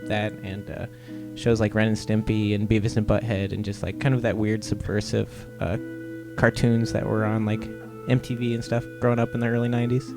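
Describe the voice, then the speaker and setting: A man from Ohio, a comic artist guest, giving relaxed, rambling interview answers with lots of "uh"s. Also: fairly low pitch. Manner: laid-back, rambling with many "uh"s.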